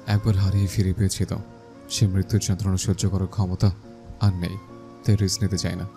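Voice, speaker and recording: A man's voice: low-pitched, little pitch movement, fairly quick, music in the background. A man playing a devoted, anxious young husband, caring and protective, in a romantic audio story. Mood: quiet, vulnerable.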